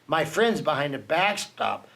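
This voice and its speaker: A man reads a children's storybook aloud at an unhurried pace, his fairly high voice swinging widely as he builds suspense. He leans into dramatic pauses like a storyteller for young listeners. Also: sing-song, gravelly, fairly bright tone. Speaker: children's book reader for a team reading program. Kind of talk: reading a children's picture book aloud.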